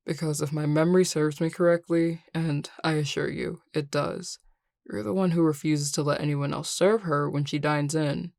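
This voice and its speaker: Woman doing a grumpy young guy coworker for audio rp, low voice, snarky and fed up, close dry recording. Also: fairly even intonation, moderate pace, vocal fry, a little mellow, no echo. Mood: sardonic, irritated. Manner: curt, sarcastic, exasperated sighing. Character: grumpy, sardonic young male tsundere coworker. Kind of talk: scripted audio roleplay acting.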